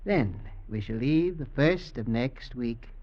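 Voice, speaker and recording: An actor playing a menacing old executioner and possessive husband, speaking in pointed, mock-affectionate questions in vintage radio drama style. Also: male voice, medium pitch, lively intonation, slow. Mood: sly false sweetness. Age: older. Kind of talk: 1940s-50s American radio drama acting. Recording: dull vintage radio recording.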